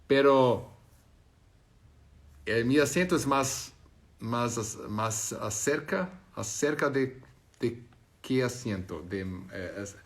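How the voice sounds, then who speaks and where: A Portuguese-speaking man from Brazil with a Brazilian Portuguese accent, hosting a casual live stream and reading out viewer comments, halting and searching for words. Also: medium pitch.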